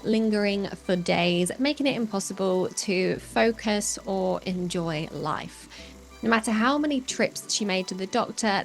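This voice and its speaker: A woman hosts a podcast at a mid pitch, first narrating a scripted intro and then gently drawing out her guest with encouraging questions. Faint music plays softly underneath. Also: poor audio. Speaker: podcast host.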